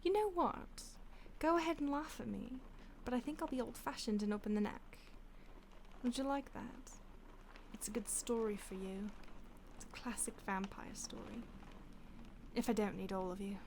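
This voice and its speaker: Soft-whispering female vampire played by a woman for an audio roleplay, mock-polite and savoring, slightly high voice. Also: a little hiss or hum. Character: polite, predatory female vampire toying with a captive.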